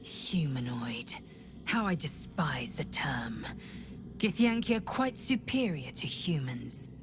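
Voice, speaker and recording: A woman's voice: sits low, very animated, slow pace, music in the background, heavily acted. An actress playing a proud, disdainful alien warrior woman in a video game, speaking in formal, haughty declarations. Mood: scornful. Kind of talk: video game character acting.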